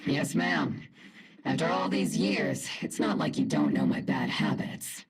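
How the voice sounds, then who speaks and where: Laid-back veteran army captain gal, really husky n low, casual game character. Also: female voice, unhurried, exaggerated voice. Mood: easygoing. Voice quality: husky, gravelly. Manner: casual, easygoing, informal. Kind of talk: video game voice acting.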